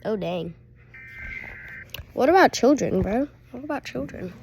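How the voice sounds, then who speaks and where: A woman's voice: a little high, expressive, unhurried, darkish tone, rough recording. A woman giving casual reaction commentary in slangy speech, often tossing in "bro". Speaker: reaction video host. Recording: faint background noise.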